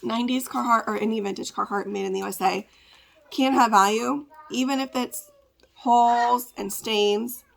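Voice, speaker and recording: A woman with a congested, raspy voice, chatting casually as she packs orders to ship at home, rambling with lots of fillers. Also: a little high, steady tone, normal speed. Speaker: part-time online reseller. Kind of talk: casual ship-with-me vlog chat.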